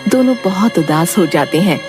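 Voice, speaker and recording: A woman's voice: fairly low pitch, moderate pace. Woman narrating a storybook. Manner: steady, storytelling. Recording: background music.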